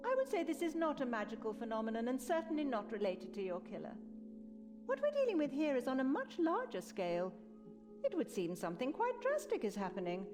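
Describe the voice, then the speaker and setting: A woman's voice: high-pitched, wildly swooping pitch, fairly quick, over music. A woman playing a proper, easily affronted wizard scholar in a fantasy video game, speaking formally and precisely. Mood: intrigued, analytical. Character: esteemed female wizard of Saradomin, proper and indignant scholar.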